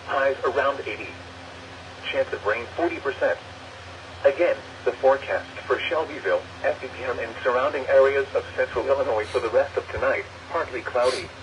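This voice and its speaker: A synthetic male text-to-speech voice reading scripted weather announcements over the radio in a formal, measured, segmented way. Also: medium pitch, lo-fi sound. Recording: noisy weather radio picked up by microphone.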